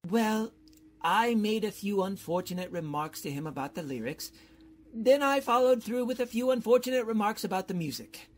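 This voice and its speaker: Casting: actor as genial bumbling academic, swooping intonation, polite and pompous, radio comedy. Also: male voice, high voice, expressive, moderate pace. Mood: sheepish. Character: courtly, bumbling, genial middle-aged male college professor. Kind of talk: old-time radio comedy acting.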